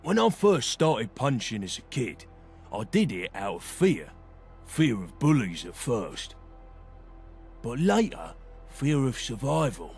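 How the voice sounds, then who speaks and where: Slow-talking, awkward gravel-voiced brawler. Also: male voice, medium pitch, very animated, darkish tone, faint music behind, heavily acted. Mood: troubled, vulnerable. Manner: hesitant, plain-spoken, stumbling over words. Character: insecure young male brawler, awkward and earnest. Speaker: video game companion character. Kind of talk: game voice acting, halting heartfelt dialogue.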